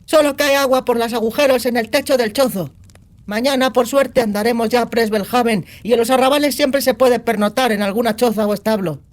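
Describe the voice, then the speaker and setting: A woman's voice: on the high side, a little fast, lightly acted. A brash young outlaw girl played by a woman in a dramatized fantasy audiobook. She talks in slangy, crude bursts with a cynical, sardonic edge. She sounds streetwise and foul-mouthed. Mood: brash, scheming. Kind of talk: acted audiobook dialogue.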